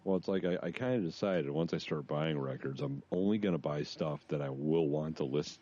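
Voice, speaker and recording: A man's voice: very deep voice, a little fast, warm tone, highs cut off. A man co-hosting a podcast, chatting in a laid-back, salty-tongued, bantering way. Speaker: podcast co-host. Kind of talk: casual chat.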